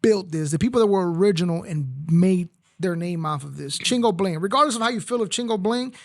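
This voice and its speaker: A man's voice: high voice, a little fast, no room sound. A man from Mexico, a native Spanish speaker, hosting a casual chat in a blunt, profane and heated way. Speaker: podcast host.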